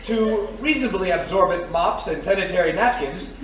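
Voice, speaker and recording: Echoey, high-voiced old-time radio announcer. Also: male voice, lively intonation, normal speed. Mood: salesmanlike. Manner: declamatory, salesmanlike. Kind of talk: 1940s radio announcer acting. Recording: very echoey, distant, faint noise.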